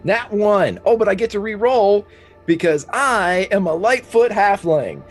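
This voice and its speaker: A man's voice: high voice, lively intonation, measured pace, soft background music. A man playing a tabletop game, casually chatting and narrating his character's actions in a playful, colloquial way.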